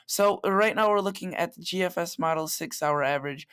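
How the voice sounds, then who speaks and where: A man with a slightly raspy voice, chatting casually through a weather forecast vlog on a plug-in microphone. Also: a little high, brisk, a bit bright. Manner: chatty, loose, talks through model maps. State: slightly raspy late at night.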